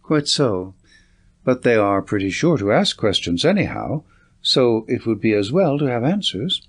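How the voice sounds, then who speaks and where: A man's voice: medium pitch, sing-song, moderate pace, breathy edge. A man voicing a confident, commanding detective in an audiobook, speaking in an assured, articulate, lecturing way. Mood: brisk, assured.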